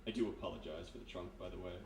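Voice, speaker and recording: Sinister male hypnotist played by a man for radio mystery: lowish, calmly menacing, polite, deliberate; roomy, distant stage recording. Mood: calm, confiding. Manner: measured, insinuating, polite, deliberate. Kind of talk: live 1940s-style radio mystery acting. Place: live performance in a historic house room. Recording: roomy distant live recording, background noise.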